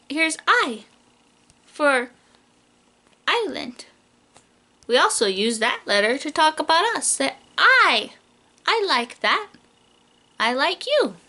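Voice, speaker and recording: A woman with a high, very lively voice reads a children's picture book as a preschool teacher would. She takes her time, stretches key words playfully and puts simple questions straight to her little listeners. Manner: animated, questioning, speaks directly to small children. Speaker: early childhood teacher reading to young children.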